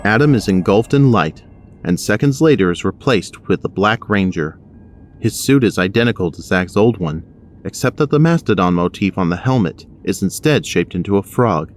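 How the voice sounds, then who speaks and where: Deep-voiced male audio drama narrator. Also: animated, normal speed, a little hiss or hum.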